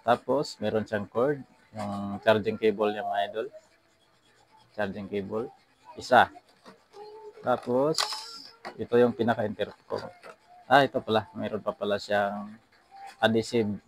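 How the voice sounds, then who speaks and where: A man's voice: lowish voice, steady tone, unhurried, long pauses. A man with a Filipino accent hosting a casual unboxing vlog, speaking to viewers and often repeating his phrases. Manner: repeats phrases and address tags. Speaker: vlog host. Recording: some background noise.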